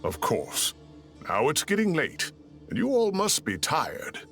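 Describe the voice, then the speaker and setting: A fussy but kindly older professor from a video game. His pitch leaps about as he chats and mock-grumbles theatrically, over soft music. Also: wildly swooping pitch, slow pace, gravelly, full character voice. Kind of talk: animated video game voice acting. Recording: music under the voice.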